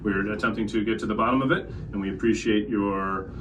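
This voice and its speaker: A man playing a polite, diplomatic starship captain in a roleplaying game, speaking formally and haltingly. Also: lowish voice, steady tone, close to an everyday voice. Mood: reassuring, diplomatic. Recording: distant mic, noisy room sound.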